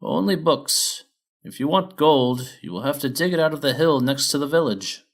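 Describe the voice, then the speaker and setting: A man's voice: on the high side, normal speed, gravelly, pitched above the performer's own voice. A man voicing a helpful old male pony trader in a storybook, explaining things patiently. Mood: matter-of-fact.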